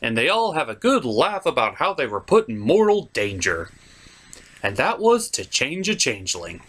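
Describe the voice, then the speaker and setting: A man's voice: medium pitch, big pitch swings, normal speed, fairly bright tone. A man giving a comedic recap as a cartoon reviewer, sarcastic and mocking in manner.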